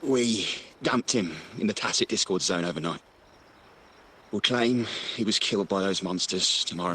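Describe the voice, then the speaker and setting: A man's voice: lowish voice, a bit bright, lightly acted. An actor playing an uneasy crime family henchman in a video game, speaking in a tense, urgent way. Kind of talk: dramatic video game voice acting. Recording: noisy, night insect ambience behind.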